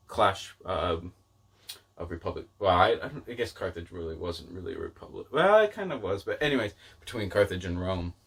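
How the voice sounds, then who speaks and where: Low-pitched man chatting casually about his hobby. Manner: rambling, hedging, often doubles back mid-thought. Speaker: book vlogger and channel host. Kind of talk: casual chat to camera.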